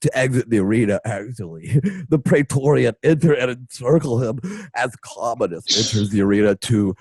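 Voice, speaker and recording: A man narrating an amateur radio play in a raspy, hammy, playful voice, often shouting and dropping joking asides. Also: mid-range voice, lively intonation, moderate pace, no echo.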